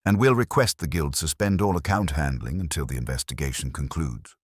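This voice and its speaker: A man voicing a calm, quietly shrewd police inspector who lays out evidence in a measured, understated and methodical way. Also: very deep voice, dry recording, studio quality. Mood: quietly firm.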